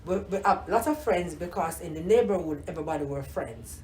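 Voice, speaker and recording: Older Jamaican grandmother, lower voice. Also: fairly quick, echoey room, slight background noise. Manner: warm reminiscing, repeats words, many "you know"s. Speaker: interviewee, grandmother. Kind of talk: casual oral history interview.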